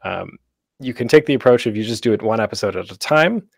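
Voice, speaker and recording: A man's voice: on the low side, animated, quick pace, gravelly, no room sound, clean studio sound. A man giving an informal, conversational instructional talk as a presenter, with a self-deprecating manner.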